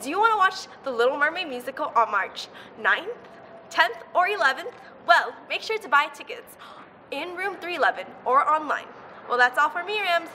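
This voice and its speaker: A woman's voice: high voice, expressive, normal speed, sharp tone, slight background noise. A teenage girl hosting a student news show outdoors, reading school announcements in an upbeat manner. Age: teens.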